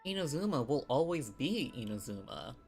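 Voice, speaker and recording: Low-voiced woman streamer, lively pitch. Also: normal speed, gravelly. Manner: reactive, thinking aloud in short bursts. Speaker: streamer playing a game. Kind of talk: casual live game commentary. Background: video game music.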